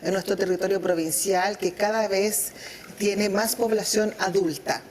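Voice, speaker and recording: A woman's voice: medium pitch, unhurried, a little mellow, slight background noise. Encouraging woman interview host. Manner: encouraging, frequent short backchannels. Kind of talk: conversational interview.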